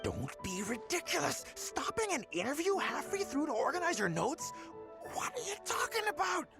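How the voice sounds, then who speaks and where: An actor voicing a pushy, scornful tabloid editor in a game, hectoring and impatient in an exasperated manner. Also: male voice, very high-pitched, huge pitch swings, moderate pace, vocal fry, over music, exaggerated voice. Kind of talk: voice-acted game cutscene dialogue.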